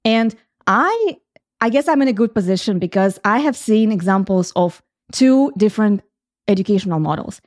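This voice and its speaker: A woman's voice: mid-range voice, animated, moderate pace, a little mellow, dry recording, clean studio sound. A middle-aged woman from Ukraine, hosting a reflective solo podcast in an earnest, explanatory way. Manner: earnest, explanatory, thinks aloud with restarts. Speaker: podcast host.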